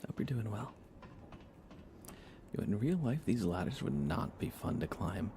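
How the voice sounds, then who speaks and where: A man's voice: lowish voice, big pitch swings, moderate pace, noisy background. A man hosting a casual ASMR game livestream, chatty and informal, sometimes slipping toward a whisper. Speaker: livestream host.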